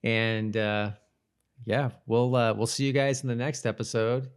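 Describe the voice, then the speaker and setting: A man's voice: mid-range voice, fairly even intonation, measured pace, smooth. A man co-hosting a podcast in casual chat, speaking loosely and hesitantly, often trailing off. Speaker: podcast co-host. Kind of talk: casual chat about a TV episode.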